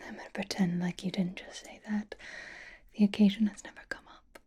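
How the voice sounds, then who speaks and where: A woman voicing an elegant, flirtatious vampire in an ASMR roleplay, coaxing intimately with fond exasperation in a breathy, halting whisper. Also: mid-range voice, level tone, warm tone. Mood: exasperated, reassuring. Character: elegant female vampire, flirtatious, patient, fondly exasperated gala companion.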